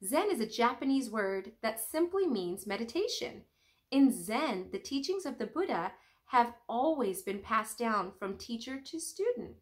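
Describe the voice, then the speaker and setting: A young woman reading a children's storybook aloud in an expressive, teacherly way with careful pauses. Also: on the high side. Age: young adult.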